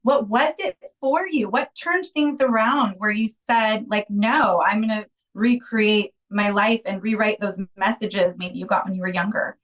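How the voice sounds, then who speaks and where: A woman's voice: mid-range voice, a little slow, few pauses, fairly bright tone, low-quality recording. A woman co-hosting a podcast in a conversational style, speaking to listeners and guests. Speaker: podcast co-host.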